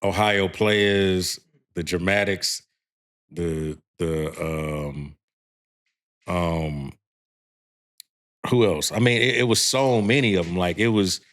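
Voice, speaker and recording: A man in his thirties from with a deep, heavy baritone, talking in a laid-back, rambling way with lots of fillers as a podcast guest. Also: slow, pauses often, fairly bright tone. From Houston, Texas. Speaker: guest singer. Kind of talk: casual podcast chat.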